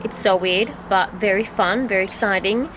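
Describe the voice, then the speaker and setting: A young woman from Sweden with a Swedish accent, chatting excitedly in a casual travel vlog and laughing often. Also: mid-range voice, normal speed, background noise. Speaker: vlogger.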